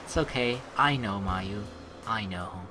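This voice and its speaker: A woman's voice: extremely low pitch, unhurried. A woman hosting a gaming video, reading game narration aloud and reacting in a chatty, theatrical way. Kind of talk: let's play commentary and read-aloud game narration. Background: faint game music.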